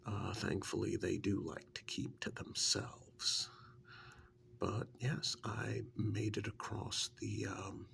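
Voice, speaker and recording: A man playing a witch's assistant in an ASMR role-play, softly and haltingly confiding his rueful, easygoing stories in a breathy, gravelly half-whisper. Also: fairly low pitch, flat delivery, slight background noise, exaggerated voice. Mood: rueful, recounting. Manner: halting, unhurried, confiding, understated.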